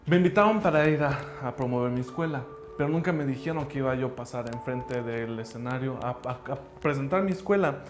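A man whose first language is English gives an earnest, emphatic motivational talk about his language school, speaking in halting phrases. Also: mid-range voice. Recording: roomy echo with faint music.

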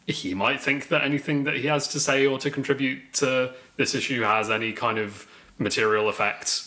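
A man's voice: medium pitch, steady tone, moderate pace, pushed and bright. A man co-hosting a casual political podcast, with a wry, sardonic and self-deprecating way of talking. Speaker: podcast co-host.

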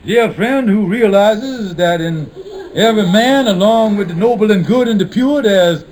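An actor playing a sheepish, scheming married lodge leader in a 1950s radio sitcom, hesitant and evasive with folksy, ungrammatical speech. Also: male voice, high voice, normal speed, a little mellow, close to an everyday voice. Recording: noisy 1950s radio broadcast recording.

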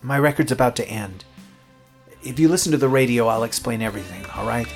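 An actor playing a rookie late-night radio DJ in a horror audio drama, jittery, hesitant and prone to stammering. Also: male voice, medium pitch, a little fast, over music, close to an everyday voice. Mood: flustered, apologetic.

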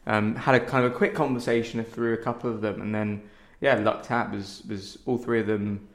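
A man's voice: on the low side, level tone, normal speed. A man talking casually and thoughtfully as an interviewed theatre director, often hedging as he goes. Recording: roomy, echoey sound.

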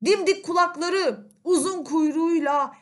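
A woman storyteller narrating a children's fairy tale in an expressive, conversational way. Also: very high voice, unhurried. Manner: animated, conversational, addresses listeners. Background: faint music.

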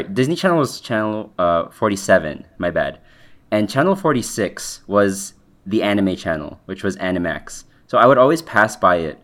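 A young man from the Philippines with a nasal voice, chatting casually on a podcast, rambling and correcting himself. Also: lowish voice. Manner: rambling, self-correcting, lots of likes. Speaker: podcast co-host. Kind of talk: casual chat.

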